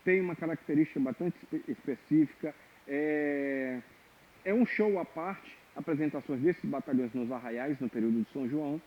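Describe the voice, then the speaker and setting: A man teaches a percussion lesson in a somewhat high, husky voice. He explains step by step and keeps checking in with his listeners. The sound is thin and phone-like. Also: moderate pace. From Maranhão, Brazil. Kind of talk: online music lesson. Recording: tinny phone-like audio.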